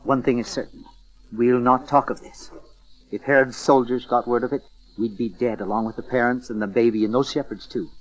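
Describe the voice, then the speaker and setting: A man acting an astonished, excitable innkeeper husband in a dramatized audio Bible. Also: mid-range voice, a little fast, lightly acted. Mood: wondering, cautious. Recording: dull lo-fi cassette transfer.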